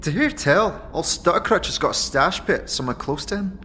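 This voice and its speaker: A man's voice: fairly high pitch, animated, moderate pace, sharp tone, a little hiss or hum. A man voicing a wary, greedy, sneering female tiefling thief in an audio drama, full of colloquial slang. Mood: gossipy, sly. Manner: colloquial thieves' slang with inverted, repeated tag phrases. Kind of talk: audio drama character acting.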